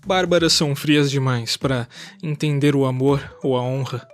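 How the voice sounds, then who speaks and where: A proud warrior of a lancer order played by a man speaks with haughty formality and a mocking edge. The pitch is medium, and faint music runs underneath this audio drama performance. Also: normal speed, close to an everyday voice. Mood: proud, defensive. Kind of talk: scripted audio drama acting.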